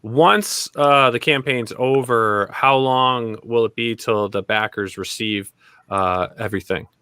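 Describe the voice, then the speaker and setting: Male show host. Bright, punchy voice, close dry sound, informal and full of appreciation for guests. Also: mid-range voice, a little slow, clean tone, no echo. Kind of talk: casual interview hosting.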